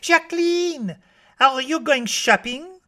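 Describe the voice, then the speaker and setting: An adult voicing an eager, high little girl. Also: slow pace, a bit bright. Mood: curious. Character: small bubbly chattering little girl.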